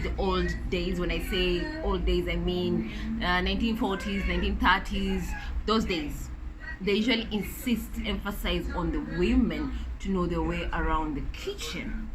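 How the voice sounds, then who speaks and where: A woman's voice: on the low side, measured pace, noisy background. A woman from Kenya, chatting and explaining at length in a casual vlog, with lots of fillers and restarts. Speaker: vlogger. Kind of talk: casual story time chat while eating. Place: home, noise behind.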